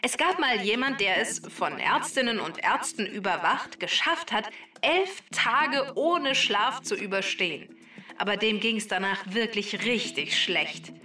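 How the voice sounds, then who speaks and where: A woman's voice: mid-range voice, lively intonation, measured pace, bright, forceful tone. A woman voicing a talking database, a factual and slightly conceited helper explaining things precisely in a scripted children's podcast. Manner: matter-of-fact, precise explaining. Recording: roomy echo, distant, music underneath. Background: music.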